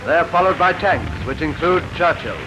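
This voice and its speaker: A man's voice: on the high side, lively intonation, moderate pace, poor audio. A British man reading scripted wartime newsreel commentary in a rousing, declamatory manner, on a vintage 1940s film soundtrack. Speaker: newsreel narrator. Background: loud newsreel music.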